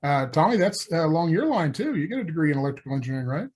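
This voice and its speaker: A man's voice: on the high side, very animated, very fast, darkish tone, slightly rough. A man co-hosting a podcast, teasing and joking sarcastically in casual chat. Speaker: podcast co-host.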